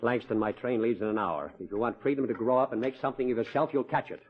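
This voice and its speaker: A man's voice: medium pitch, quick pace, close to an everyday voice. Man acting a stern, domineering father, muffled old radio. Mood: brisk, scornful. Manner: commanding, blunt, impatient. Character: stern, domineering, money-minded wealthy rancher father. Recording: muffled, husky old-time radio recording.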